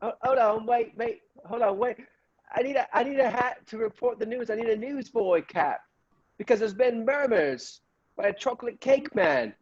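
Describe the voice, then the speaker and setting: A man playing an excitable, easily awed newsboy who stammers and repeats himself in an improvised comedy sketch. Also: extremely high pitch, normal speed, highs cut off. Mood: frantic, urgent. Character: excitable, awestruck young newsboy, eager and fawning. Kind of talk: improvised comedy sketch spoofing old radio plays.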